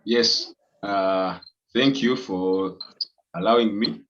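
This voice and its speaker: A man's voice: lowish voice, slow. A young man from Uganda with a Ugandan accent, a student introducing himself briefly with ums. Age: young adult. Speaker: student panelist. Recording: muffled lo-fi audio.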